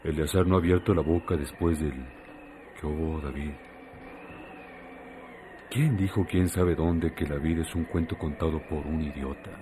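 A man narrating a radio drama in a solemn, deliberate, literary manner with weighty pauses. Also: very deep voice, background noise. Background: music.

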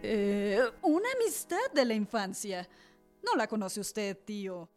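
A woman's voice: medium pitch, big pitch swings, unhurried, darkish tone, soft background music. A woman acting in a radio drama as a young, cautious widow of a colonial family, polite and evasive in manner. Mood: evasive, nervous.

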